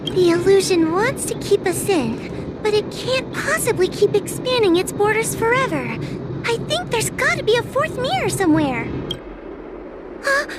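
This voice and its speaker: A tiny, very high-voiced timid girl. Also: sing-song, moderate pace, very noisy. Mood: anxious, hesitant. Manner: hesitant, nervous, often faltering. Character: timid, anxious young girl judge in training. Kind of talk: video game voice acting.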